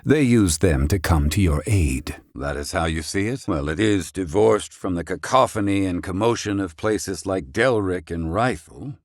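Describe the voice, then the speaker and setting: A man voicing a proud, erudite older mage for a video game, gruff but kindly, speaking in formal, wordy phrasing. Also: deep voice, moderate pace, hardly any pauses, no room sound, studio quality. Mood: somber, matter-of-fact. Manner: formal, wordy, archaic phrasing with clipped questioning tags. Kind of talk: English-dub video game voice acting.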